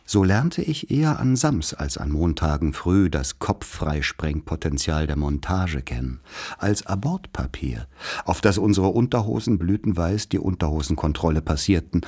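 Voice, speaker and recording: A man playing a wry narrator who recalls his boarding-school boyhood, in measured literary radio play narration. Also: rumbling low, a little mellow, breathy edge, heavily acted.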